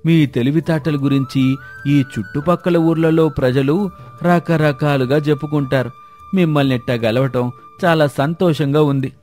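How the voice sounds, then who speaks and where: A man's voice: a little high, normal speed, darkish tone, faint music behind, lightly acted. A man playing a hospitable village father in a storybook drama, with an eager, deferential manner. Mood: admiring.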